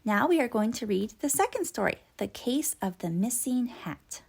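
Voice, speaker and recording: A woman giving an expressive read-aloud narration of a children's storybook. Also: a little high, normal speed, gravelly, breathy edge. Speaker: storybook reader.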